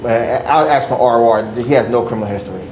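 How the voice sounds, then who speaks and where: A man speaks as a public defender, addressing the judge with hesitations and filler words. His voice has an ordinary pitch and carries noticeable echo from a roomy space, with faint noise behind it. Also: fast talker, darkish tone. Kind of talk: courtroom argument.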